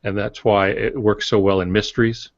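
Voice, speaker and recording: An older man co-hosting a writing podcast, explaining in a measured way with hesitant fillers. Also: on the low side, level tone, no echo. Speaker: podcast co-host. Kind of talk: casual writing craft explanation. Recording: dry, dull lo-fi audio.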